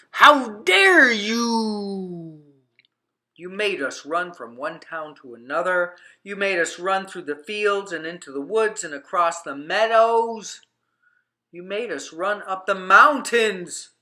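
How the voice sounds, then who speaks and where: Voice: medium pitch, bright, forceful tone, echoey room, pitched above the performer's own voice. A storybook reader voicing a crowd of townspeople who exclaim and scold in raised, indignant voices. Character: crowd of frightened, then furious townspeople.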